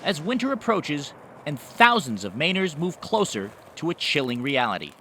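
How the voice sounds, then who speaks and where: A man's voice: on the high side, big pitch swings, moderate pace, pushed and bright, a little hiss or hum. A man working as a television news reporter, reading a scripted narration.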